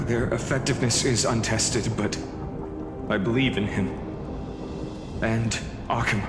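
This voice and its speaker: A man's voice: on the low side, natural voice. A man voicing a resolute, selfless soldier hero in a video game, earnest and steadfast. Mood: calm, assured. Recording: echoey hall reverb, music behind.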